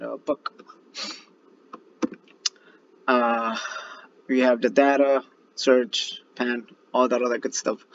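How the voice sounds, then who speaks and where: A man teaching an informal online read-aloud lesson in a halting, thinking-aloud manner. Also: medium pitch, fairly bright tone, muffled recording, slight background noise. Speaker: teacher reading aloud to pupils.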